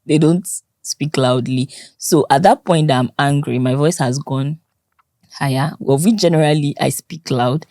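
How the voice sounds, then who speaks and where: Young Nigerian woman, Nigerian accent, very low and mellow, loud and expressive interview guest. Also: measured pace, dry recording, studio quality. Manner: loud, expressive, laughs often. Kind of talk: conversational interview.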